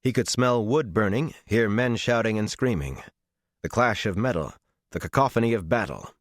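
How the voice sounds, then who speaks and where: A man reading a dramatic fantasy audiobook as its narrator, with a measured, intense and expressive delivery. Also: on the low side, no echo, clean studio sound.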